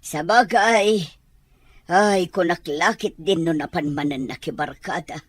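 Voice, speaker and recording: A woman's voice: on the low side, measured pace, slightly rough, no room sound. An actress playing a protective, worrying mother and wife in a radio drama. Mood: resigned, concerned.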